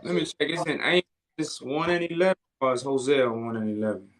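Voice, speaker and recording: A man's voice: mid-range voice, lively intonation, a little fast, heavy background noise. A man hosting a live call-in debate stream, repeating phrases for emphasis as he talks with callers.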